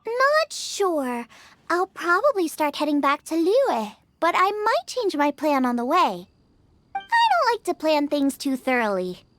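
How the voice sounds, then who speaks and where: A woman's voice: very animated, measured pace, fairly bright tone, heavily acted. A woman voicing a cheerful, generous young girl chef in a video game, with a girlish, eager and encouraging delivery. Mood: warm, breezy. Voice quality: girlish, high-pitched. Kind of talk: English video game voice acting. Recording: music playing behind the voice.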